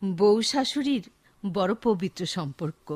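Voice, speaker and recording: A woman's voice: mid-range voice, animated, measured pace, a little mellow. A woman with a Bangladeshi accent acting an affectionate, hospitable older village mother-in-law in a Bengali radio drama. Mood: warm, heartfelt.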